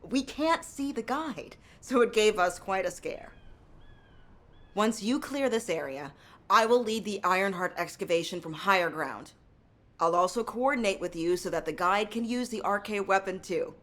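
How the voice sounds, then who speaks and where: An actress playing an earnest, curious excavation chief in a video game, with a conversational delivery. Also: female voice, medium pitch, animated, moderate pace, fairly bright tone, natural voice. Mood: relieved, determined. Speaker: excavation team leader.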